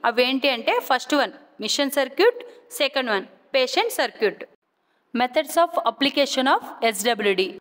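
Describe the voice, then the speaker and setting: A woman with a Telugu Indian accent giving a scripted educational lecture as an instructor, deliberate and point by point, mixing in English technical terms. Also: sits high, a bit bright. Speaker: physiotherapy instructor. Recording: long hall-like reverb.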